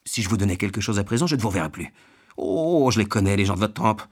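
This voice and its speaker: Guy doing a gruff pompous glutton boss in an audiobook, loud, scolding, so sure he's the honest one. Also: on the low side, lively intonation, quick pace. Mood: suspicious, blustering. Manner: bellowing, scolding, emphatic. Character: gruff, self-righteous, gluttonous well-off working man.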